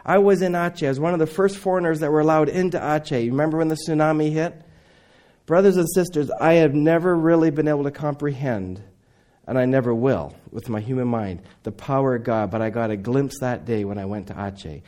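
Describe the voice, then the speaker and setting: A middle-aged man who grew up in Indonesia, preaching a sermon with dramatic swings between loud emphasis and near whispers. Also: a little high, brisk.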